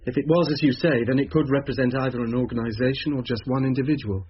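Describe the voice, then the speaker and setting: An actor with a British accent playing a concerned man in a radio drama, earnest and probing, with a husky voice. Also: medium pitch, fairly even intonation, fast, close to an everyday voice. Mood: urgent, insistent. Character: concerned man gently pressing a family for the truth. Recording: muffled old radio drama recording.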